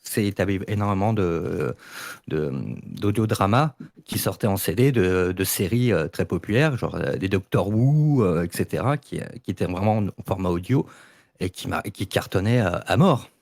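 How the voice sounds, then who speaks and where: A man's voice: deep voice, expressive, normal speed, vocal fry, a little mellow, no room sound, studio quality. A man with a French accent explaining his views in a casual online debate, often hesitating and repeating words. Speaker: debate participant.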